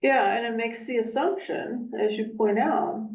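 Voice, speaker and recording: Calm discussion leader, distant and roomy. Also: medium pitch, unhurried. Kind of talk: facilitating a group discussion. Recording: distant and echoey.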